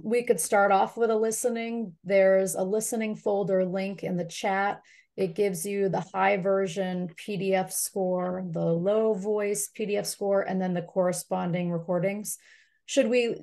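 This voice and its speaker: A woman's voice: medium pitch, a little mellow. A woman giving casual instructions as co-host of a video call session.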